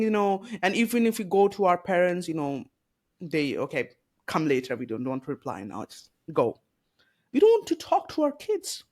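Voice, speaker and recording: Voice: mid-range voice, a little mellow. A podcast host speaking earnestly and with strong opinions, leaning on "you know" and rhetorical questions in a casual conversation.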